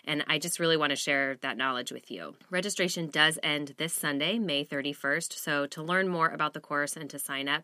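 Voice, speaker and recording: A woman's voice: rumbling low, pushed and bright, no echo, clean studio sound. A woman hosting a podcast in a chatty, upbeat manner, a little stuffy from a cold, recorded in a small closet. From Seattle area. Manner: chatty, upbeat, self-deprecating. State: has a cold. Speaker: podcast host. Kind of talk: casual podcast intro and interview.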